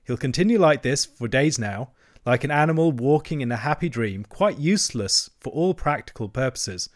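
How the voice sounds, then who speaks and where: A man's voice: mid-range voice, lively intonation, moderate pace, natural voice. A man voicing a stern, practical, hot-tempered water rat in a storybook, with a firm, emphatic manner. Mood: firm, grim.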